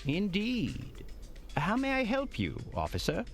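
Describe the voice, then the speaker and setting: A woman's voice: mid-range voice, huge pitch swings, measured pace, soft background music. A woman playing an officious, courteous hotel manager in an audio drama, formal and slightly condescending. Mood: formal, aloof.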